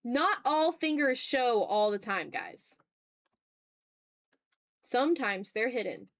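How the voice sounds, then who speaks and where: Playful, higher-voiced woman chatting on an art stream. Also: sing-song, slow pace, no room sound, clean studio sound. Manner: playful, teasing, mock-exasperated, banters with viewers. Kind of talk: casual livestream chat while drawing.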